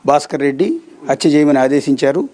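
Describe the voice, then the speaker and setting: A man's voice: on the high side, animated, fairly quick, warm tone. A man working as a television news interviewer, putting probing questions and checking statements point by point.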